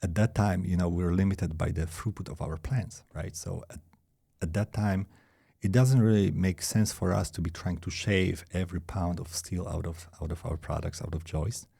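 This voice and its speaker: A man's voice: low-pitched, fairly even intonation, normal speed, gravelly, warm tone, slightly rough. A man in his forties from Poland with a Polish accent, talking casually as an interview guest, often restarting words and filling in with "you know" and "hey". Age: 40s. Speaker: guest, director of engineering.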